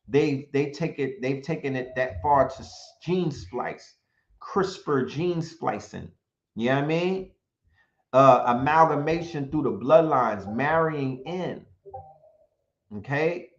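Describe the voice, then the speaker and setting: A man speaking in an animated, emphatic commentary, often throwing in rhetorical questions and sometimes reading from notes. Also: mid-range voice, slow pace. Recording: roomy, dull lo-fi sound.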